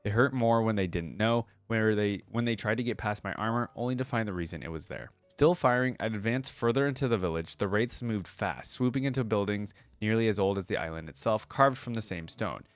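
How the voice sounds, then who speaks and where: A man's voice: on the low side, brisk, few pauses, dry recording, faint music behind. A man reading a story aloud and chatting casually as a reaction video host.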